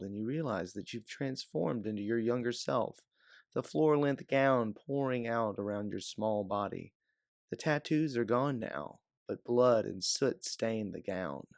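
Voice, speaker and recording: A man's voice: medium pitch, a little slow, vocal fry, no room sound, clean studio sound. A man reading scripted second-person adventure narration as an audiobook narrator.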